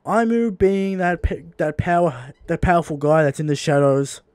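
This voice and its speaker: A man's voice: fairly high pitch, expressive, normal speed, a little mellow, no echo, studio quality. A man with an Australian accent, co-hosting a podcast in casual chat, blunt and swearing freely as he rants. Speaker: podcast co-host.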